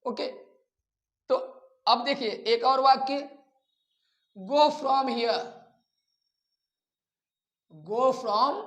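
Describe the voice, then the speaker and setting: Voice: high-pitched, very slow, long pauses, a bit bright, lo-fi sound. An Indian teacher giving an instructional English grammar lesson, didactically asking questions and then answering them. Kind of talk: instructional lecture mixing Hindi and English terms. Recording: echoey room.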